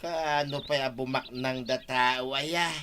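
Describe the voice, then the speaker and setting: A man's voice: medium pitch, fairly even intonation, takes it slowly, sharp tone. A man playing a weary, self-pitying farmhand in an audio drama, with an Ilocano accent. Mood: whining, weary. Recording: noisy track with chirping birdsong.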